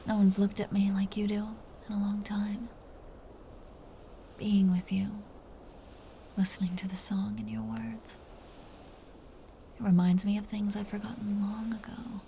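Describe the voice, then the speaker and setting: Slow, whispering woman as a tender vampire countess. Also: mid-range voice, flat delivery, slight background noise. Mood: vulnerable, wistful. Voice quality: soft, breathy whisper. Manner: slow, soothing, teasing endearments. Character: possessive, tender vampire countess doting on her captive. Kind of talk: intimate whispered ASMR roleplay.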